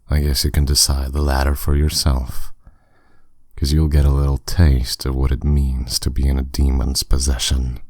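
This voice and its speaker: Demon lord played by a man, extremely low voice, dry close sound. Smug, commanding, teasing; intimate audio roleplay. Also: a little mellow, no room sound. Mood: smug, reasoning. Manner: commanding, condescending, unhurried, teasing. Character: dominant, suave male demon lord, smugly teasing. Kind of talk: roleplay audio drama, addressed intimately to listener.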